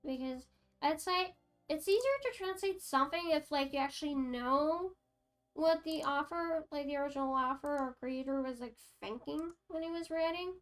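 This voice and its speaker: A woman's voice: sits high, moderate pace. A young French Canadian woman with a French Canadian accent, chatting casually on a livestream, rambling with lots of fillers and restarts. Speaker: streamer.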